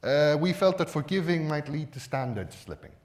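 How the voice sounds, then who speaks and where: A man presenting at a conference in a measured, explanatory manner, stressing key words with emphasis. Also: on the high side, expressive, darkish tone. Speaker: conference speaker.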